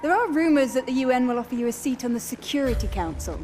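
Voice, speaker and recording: High-voiced female TV interviewer. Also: lively intonation, fast talker, darkish tone, a little hiss or hum. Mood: poised. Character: polished, probing female TV interviewer.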